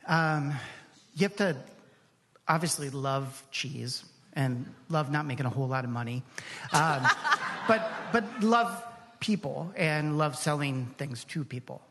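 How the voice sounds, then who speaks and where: A man's voice: on the high side, animated, unhurried, fairly bright tone, slight background noise. A man speaking as an interview guest, explaining things in a casual, informal, easygoing way. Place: large hall, live radio show. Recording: reverberant live hall.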